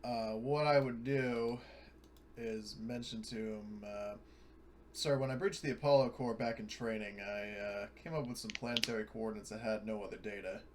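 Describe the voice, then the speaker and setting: A man's voice: on the low side, steady tone, moderate pace, slight background noise. A man playing in a tabletop roleplaying game, switching casually between narrating and speaking in character.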